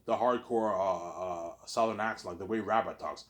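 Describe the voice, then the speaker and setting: A man's voice: low voice, normal speed. A man with a slight Canadian accent talking in a rambling casual monologue, often restarting and tagging on "obviously". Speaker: vlogger.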